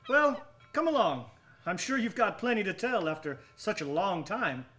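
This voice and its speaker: A man's voice: high-pitched, sing-song, moderate pace, pushed and bright, faint music behind, heavily acted. An actor in a radio drama playing a genial, obliging man, eager and polite as he questions his friend. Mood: genial, welcoming. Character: genial, obliging male friend of an old sailor.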